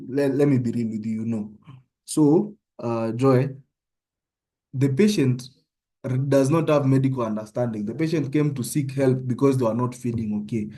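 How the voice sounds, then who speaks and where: Young Kenyan male tutor, Kenyan accent, mellow voice, casual teaching that keeps checking in with listeners. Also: medium pitch, normal speed. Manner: conversational, often checks in with "yeah?". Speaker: medical student tutor. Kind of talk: informal online tutorial.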